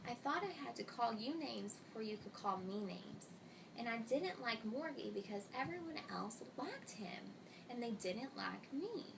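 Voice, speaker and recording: Storybook new girl voiced by a woman, defensive and blunt, creaky, distant mic. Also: on the high side, animated, unhurried. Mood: apologetic. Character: prickly, lonely new schoolgirl. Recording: distant mic, loud noise.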